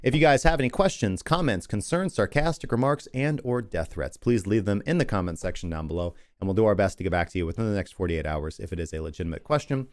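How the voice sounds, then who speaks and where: Chatty man, slightly low voice. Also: expressive, quick pace, hardly any pauses, no echo, studio quality. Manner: chatty, rambling, thanks viewers at length. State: losing his voice. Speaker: crypto livestream host. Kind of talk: casual market analysis and sign-off.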